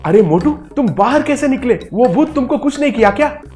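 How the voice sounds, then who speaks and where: A man voicing an excitable, grumbling, easily scared poor ragpicker in an animated story, exclaiming as he talks. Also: very high voice, fairly quick, faint music behind, pitched above the performer's own voice. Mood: alarmed. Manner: exclaiming, complaining. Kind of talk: Hindi animated children's story dialogue.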